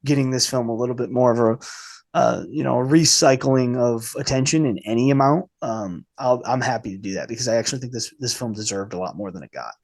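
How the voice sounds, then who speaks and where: A man hosting a casual film podcast, speaking informally and haltingly with a slight cold in his voice. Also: mid-range voice, vocal fry, darkish tone. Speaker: podcast host.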